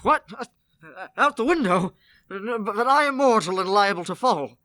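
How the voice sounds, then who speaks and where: A man's voice: high-pitched, animated, unhurried, sharp tone, full character voice. A man playing a frightened, regretful old miser in a radio play, with a hesitant, stammering, theatrical delivery. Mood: fearful, hesitant. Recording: echoey hall, distant mic, music behind.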